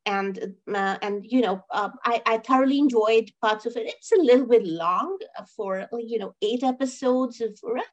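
A woman's voice: fairly high pitch, moderate pace, hardly any pauses, dull audio. A woman co-hosting a review podcast, talking casually and emphatically, often repeating phrases and checking in with questions. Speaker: co-host of a film and TV review show.

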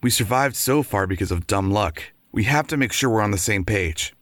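An actor playing an earnest, competitive game show contestant in an audio drama. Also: male voice, lowish voice, lively intonation, moderate pace, music in the background, lightly acted. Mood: earnest, determined.

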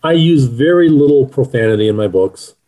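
A man's voice: medium pitch, lively intonation, brisk, warm tone. A middle-aged man speaking as an author being interviewed, answering in a conversational way.

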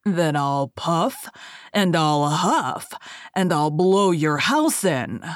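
Lady doing big bad wolf for a kids story, sly n threatening, drags words out, lowish voice, dry sound. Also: animated, no room sound. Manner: slow, drawn-out, emphatic threats. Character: menacing wily male wolf, coaxing and threatening. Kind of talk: storybook character voice.

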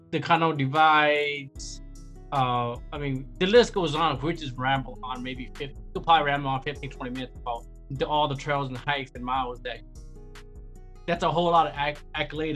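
An eager interview host of middling pitch, speaking with admiring enthusiasm. The host rambles through long questions and praise. Faint music plays softly behind. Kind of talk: interview hosting.

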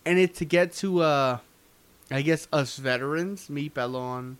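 A man's voice: a little high, a little slow, clean tone. A young man co-hosting a podcast, joking and teasing his friends in a casual chat. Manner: joking, teasing, laughs a lot. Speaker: podcast co-host.

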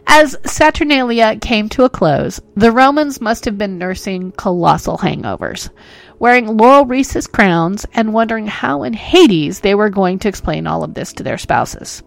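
A woman hosts a podcast and tells holiday history with a joking, self-mocking playfulness. Her voice sits at a middle pitch. Soft music plays faintly underneath her. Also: expressive, a little slow, creaky, dry recording. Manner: playful and self-mocking, with frequent jokes and laughs. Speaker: podcast host. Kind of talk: comedic history narration.

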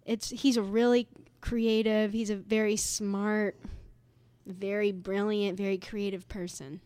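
A woman's voice: medium pitch, level tone, measured pace, darkish tone, clean tone, no echo. A woman in her late twenties from upstate New York, co-hosting a casual podcast with playful, giggly banter. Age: 20s. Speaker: podcast co-host. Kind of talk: casual chat.

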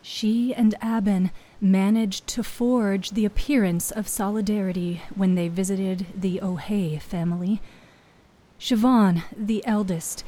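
A woman storyteller giving a solemn, dramatic narration of fiction, with hushed phrase endings. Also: mid-range voice.

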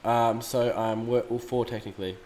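A man's voice: mid-range voice, fairly even intonation, moderate pace, a little mellow. Australian man, 20s, podcast guest, mumbly and laid-back, swears a lot. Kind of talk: casual chat.

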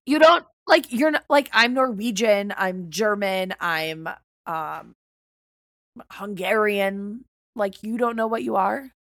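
A woman's voice: mid-range voice, lively intonation, slow, a bit bright, no room sound. An American woman co-hosting a podcast in casual chat, with a blunt, teasing, slangy way of talking. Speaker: podcast co-host.

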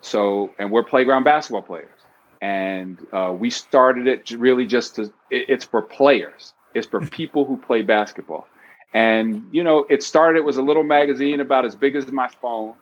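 Man, 50s, interview guest, animated storyteller, playful, casual talk. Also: normal speed, lo-fi sound. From New York City. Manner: animated storyteller, bursts of pitch when excited. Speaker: guest author.

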